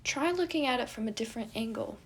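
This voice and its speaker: A bookish teenage adviser voiced by a woman from a storybook tale. Delivery is deadpan and formal, each phrase precise, with dry, logical wit. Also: on the high side, fast talker, breathy edge, voice pushed up. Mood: advising.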